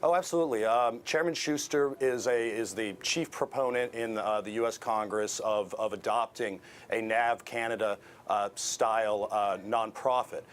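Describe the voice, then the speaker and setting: A man with an American accent giving explanations as an expert guest in a news interview, in a hesitant, halting way. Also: fairly low pitch, fairly even intonation, a little hiss or hum.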